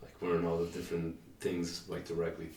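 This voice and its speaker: A man with a very low voice puts hesitant questions to a guest, stumbling through fillers as he goes. He sounds distant from the mic in an echoey room. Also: monotone, brisk, darkish tone, slight background noise. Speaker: interviewer. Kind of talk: casual interview conversation.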